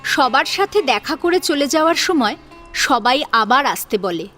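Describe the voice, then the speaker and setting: Lady narrating an audio story, high voice, dramatic reading, light music behind. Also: lively intonation, a little slow, a bit bright.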